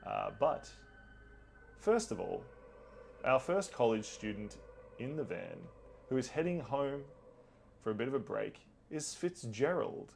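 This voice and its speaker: A man's voice: very animated, unhurried, long pauses, darkish tone, slight background noise. A man hosting a tabletop game as game master, chatting casually with a playfully theatrical manner. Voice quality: deep, gravelly.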